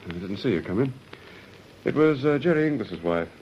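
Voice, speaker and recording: An actor playing a polite, dutiful junior police officer in a radio drama. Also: male voice, mid-range voice, very animated, brisk, lightly acted. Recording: muffled old radio recording, faint noise.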